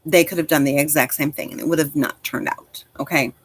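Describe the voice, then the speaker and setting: A woman's voice: deep voice, fairly even intonation, a little fast, slightly rough. A woman in her forties chatting casually on a livestream as host, speaking directly to listeners in an encouraging, reassuring way. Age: 40s.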